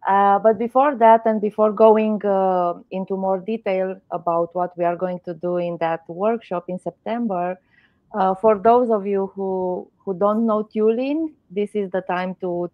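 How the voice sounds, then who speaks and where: A woman's voice: medium pitch, a little slow, dry recording. A woman hosting a live online interview, hesitant with many fillers. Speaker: host of a live stream. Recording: dry, muffled lo-fi sound.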